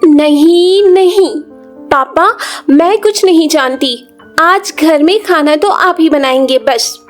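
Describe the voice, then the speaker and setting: Woman as small daughter in animated children's tale, high childlike voice, headstrong but affectionate, pleading delivery, soft music behind. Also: slow. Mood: insistent, pleading. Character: young daughter, stubborn, loving, pleading little girl.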